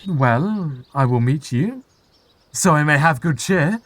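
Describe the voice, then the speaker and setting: A flippant young lord in a Shakespearean radio play. His voice is slightly high and closely, dryly recorded. He banters in a jaunty, mocking way. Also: animated, slow pace, fairly bright tone, no room sound. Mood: bantering. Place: home recording.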